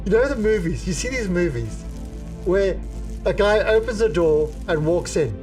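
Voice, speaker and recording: Streamer host, man, accented, rambling anecdotes, set back from the mic over clear background music. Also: high voice, animated, moderate pace, darkish tone, distant mic. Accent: non-American accent. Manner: rambling storyteller, chuckles often. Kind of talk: casual chat on a live stream.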